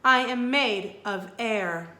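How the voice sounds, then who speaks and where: A woman's voice: fairly high pitch, slow, bright, forceful tone, echoey room. Woman voicing a stately, sad ancient ghost queen. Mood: regal, wistful. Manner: stately, formal, dramatic.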